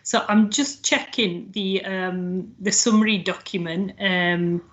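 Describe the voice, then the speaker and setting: A woman chairing an online council meeting, reading out questions in a measured way with some fillers. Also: mid-range voice, steady tone. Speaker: panel chair. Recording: dull lo-fi video call audio.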